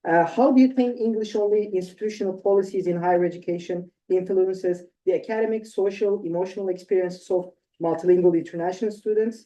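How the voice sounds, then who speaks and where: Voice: mid-range voice, steady tone, quick pace, few pauses, mellow. A moderator reading out discussion questions with hesitant fillers. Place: university event room. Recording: dull, distant room sound.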